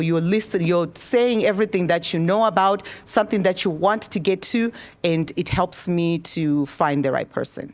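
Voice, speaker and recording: A woman in her forties from Zimbabwe, a spa owner speaking on a panel to students into a handheld microphone, encouraging and chatty. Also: fairly low pitch. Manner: warm, encouraging, chatty with restarts. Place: event room.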